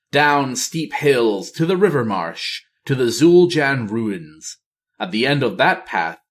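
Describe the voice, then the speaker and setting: A man reading a fantasy novel aloud as storyteller, in dramatic audiobook-style narration. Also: mid-range voice.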